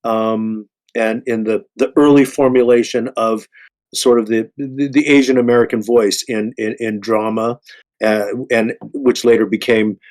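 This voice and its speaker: A man speaking casually as a panel guest, halting and repeating words, with a modest manner. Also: medium pitch, fairly even intonation, a little mellow. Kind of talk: casual self-introduction.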